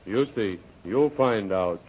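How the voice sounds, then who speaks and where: Deep-voiced native servant, pidgin English. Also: male voice, animated, slow pace, darkish tone. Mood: terse, guarded. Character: loyal native male servant or henchman, terse, deferential. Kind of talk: old-time radio adventure serial acting. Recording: muffled, noisy old radio recording.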